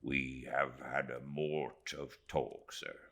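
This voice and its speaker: Male narrator as grieving old seaman, very deep, gravelly, slow, rustic dialect, resolved, audiobook storytelling. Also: little pitch movement, sharp tone, full character voice. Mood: grave, weary. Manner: rustic dialect, solemn and halting. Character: grave, steadfast old seafaring man, grieving but resolved. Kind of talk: audiobook character voice.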